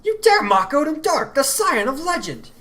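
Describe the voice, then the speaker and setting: A man voicing a theatrical young girl who speaks in grand, drawn-out declarations. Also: very high voice, very animated, sharp tone, voice pushed up, exaggerated voice. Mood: grandiose. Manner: melodramatic, drawn-out.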